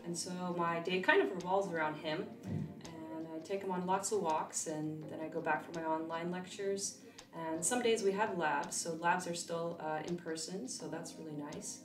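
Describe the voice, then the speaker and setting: A young woman in her twenties, a vet student from California whose first language was Russian, chatting casually in an interview with relaxed fillers and restarts. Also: on the low side, moderate pace, roomy sound, recorded from a distance, music in the background. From California, USA.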